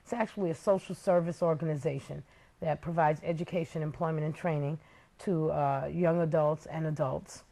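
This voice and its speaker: A woman from the Bronx, calmly explaining her work and education as a talk show guest, pausing with the odd filler. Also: deep voice, gravelly. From the Bronx, New York. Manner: measured, explanatory, some uhs. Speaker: guest, nonprofit founder. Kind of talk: interview answers.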